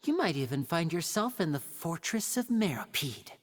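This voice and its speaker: A man's voice: a little high, sing-song, moderate pace. An actor voicing a flamboyant, playful and confident young male stage magician in a video game, with polished, teasing theatrical patter. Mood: gracious showmanship.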